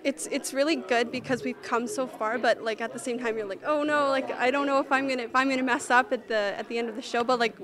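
A woman's voice: fairly high pitch, fairly even intonation, quick pace, hardly any pauses, a crowd in the background. A teenage girl who is a student actor, chatting in an interview with lots of "like", speaking into a handheld mic in a theatre rehearsal room. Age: teens. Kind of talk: casual interview answers.

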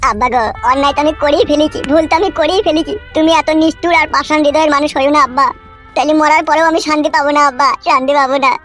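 A man's voice: very high voice, fairly even intonation, very fast, fairly bright tone. A man voicing a hapless young village son in a comic cartoon, making excuses in a whiny, melodramatic, pleading way. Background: faint music.

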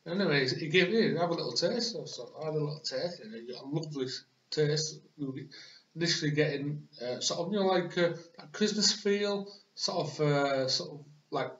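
A man's voice: a little high, normal speed, a bit bright. Rambling young man on webcam. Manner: rambling, many fillers like "you know what I mean". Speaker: co-host. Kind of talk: casual chat. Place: small bedroom with posters, indoors. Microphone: webcam on desk. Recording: roomy, dull lo-fi webcam audio.

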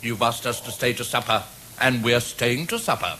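An actor playing a gruff, blustering, bossy badger in a radio drama. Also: male voice, mid-range voice, moderate pace, bright, forceful tone, lightly acted. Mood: gruffly bossy. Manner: blustering, rustic turns of phrase. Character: gruff, blustering, commanding older male badger. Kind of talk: BBC radio drama acting. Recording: 1970s radio play, faint noise and crowd.

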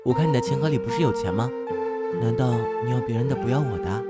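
A man's voice: mid-range voice, quick pace, natural voice. A man playing a cheeky, boastful young man with a tender side, in an amateur audio drama. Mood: cocky. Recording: music under the voice.

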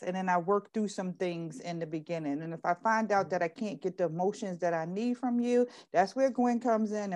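A middle-aged woman with an American accent speaks as an interview guest on a video call. Her voice is medium in pitch. She is frank and direct, explaining things conversationally. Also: a little mellow. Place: indoor room, on a video call.

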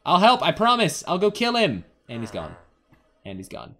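Young male gaming host: playful, rambling Let's Play commentary with a lively, swinging pitch. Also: moderate pace. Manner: playful, rambling, talks to game characters and himself. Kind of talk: casual Let's Play commentary on an adventure game.

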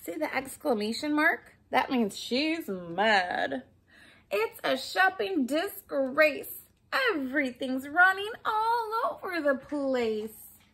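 High, animated woman reading to children. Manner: expressive, addressing young listeners with questions and asides. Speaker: storybook reader for children. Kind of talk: children's picture book read-aloud. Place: small furnished room at home, indoors.

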